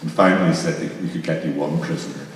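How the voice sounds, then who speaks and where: A man on a panel giving an informal recollection to an audience, measured, with pauses between short phrases. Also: a bass voice, fairly even intonation. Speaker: panelist from a theatre project. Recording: echoey hall, distant mic, faint noise.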